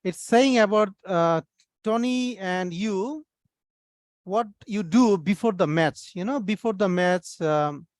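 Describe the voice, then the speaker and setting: A man's voice: high voice, takes it slowly, dry recording. Guy teaching english on a video call, patient, keeps fixing grammar, dry sound. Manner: patient, correcting students' grammar. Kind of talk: online language class.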